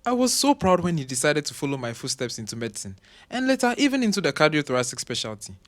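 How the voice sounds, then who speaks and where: Man playing a pompous older professor father, animated. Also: a little high. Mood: proud. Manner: pompous, halting stammer. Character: proud, dismissive professor father, doting on favourite son. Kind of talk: audio drama acting.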